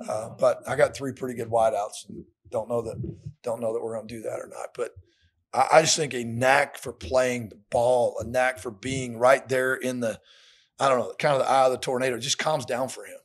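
A man's voice: medium pitch, fairly even intonation, brisk. A middle-aged American man, a football coach, answering questions in a plain, colloquial way at a post-game press conference podium. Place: indoor press room at a podium. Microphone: podium microphones.

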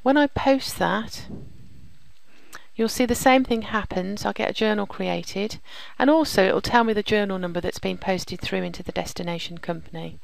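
A woman narrating a software demonstration as a presenter, explaining things step by step. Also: mid-range voice, normal speed, creaky, no room sound, poor audio. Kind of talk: scripted software demo narration.

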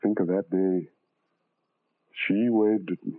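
An actor playing a lonely, self-loathing man in an old-time radio horror monologue, intense and halting, repeating phrases obsessively. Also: deep voice, flat delivery, no room sound. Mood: brooding, haunted. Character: tormented, lonely, self-loathing ugly man recalling his past. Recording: tinny band-limited old radio, music behind.

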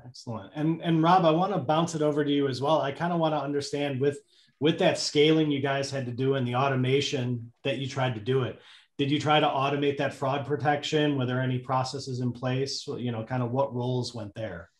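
A man's voice: mid-range voice, steady tone, a little fast. A man moderating a panel discussion in a conversational way over a video call. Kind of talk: conversational interviewing. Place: indoor room, on a video call. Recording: roomy, echoey video call.

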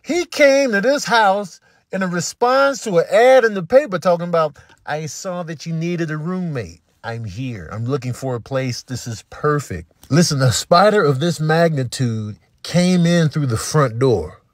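A man giving comedic, casual commentary in an emphatic, slangy, animated manner. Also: fairly high pitch, unhurried. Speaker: comedian doing voiceovers and food vlogs.

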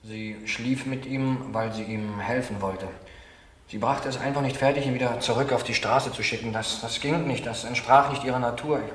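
An actor plays a defensive, emotional man in a crime radio play. He speaks with halting fervour at a medium pitch. The old recording is echoey, with some faint noise. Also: steady tone, a bit bright, natural voice. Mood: earnest, fervent. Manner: halting, fervent, pleading for understanding. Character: defensive, emotional male former drug counsellor, sociologist. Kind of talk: German crime radio drama acting.

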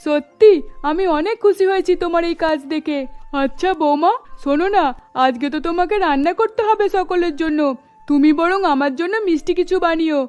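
A woman's voice: very high voice, a little fast, a little mellow. A woman voicing an emphatic, middle-aged mother-in-law in a melodramatic cartoon, exclaiming as she talks. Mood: pleased, gushing. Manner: emphatic, exclaiming, scolding or gushing. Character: scolding middle-aged Bengali mother-in-law, easily won over.

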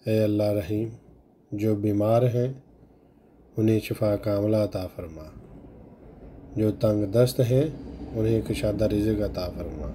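A man slowly reciting a long devotional supplication in a solemn, measured way. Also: on the low side, fairly even intonation, warm tone, slight background noise. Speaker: prayer reciter.

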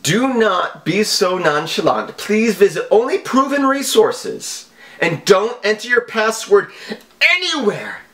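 A man voicing a melodramatic, excitable email-scam hacker, with exclamatory delivery and drawn-out words. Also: sits high, pitched above the performer's own voice, full character voice. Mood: dramatic, insistent.

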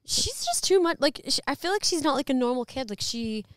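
A woman's voice: high voice, expressive, brisk, dry recording. A young woman co-hosting a podcast outdoors, chatting casually and acting out her own quotes into a handheld mic. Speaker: podcast co-host. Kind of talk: casual chat. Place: outdoors by a fire pit.

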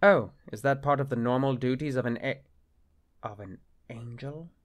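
An actor playing a proud, jealous middle-aged bishop in a radio-style drama, curt and indignant. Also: male voice, medium pitch, normal speed, close to an everyday voice. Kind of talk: radio-play style acting.

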